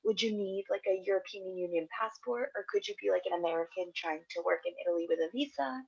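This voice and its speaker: A woman's voice: mid-range voice, quick pace, vocal fry, husky, dry recording. Polite young woman on a dull, dry mic. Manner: polite, slightly hesitant. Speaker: student. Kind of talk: asking a question on a video call. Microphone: video call.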